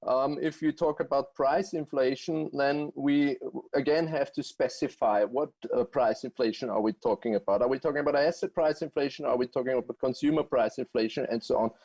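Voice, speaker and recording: A man from Austria with an Austrian accent, answering interview questions as a guest economist in a halting way, full of fillers and restarts. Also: medium pitch, fairly even intonation, darkish tone, dull audio. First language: German.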